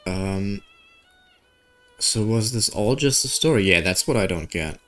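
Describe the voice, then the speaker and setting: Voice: low voice, animated, measured pace, a bit bright, soft background music. A streamer giving casual playthrough commentary, muttering reactions, reading game text aloud and sometimes trailing off. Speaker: gaming streamer.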